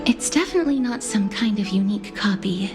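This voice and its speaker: An actress playing a polite, bookish young woman in a video game, speaking softly and formally. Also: mid-range voice, lively intonation, a little fast, lightly acted. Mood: gentle, earnest. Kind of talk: scripted video game dialogue. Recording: music behind the voice. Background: game music.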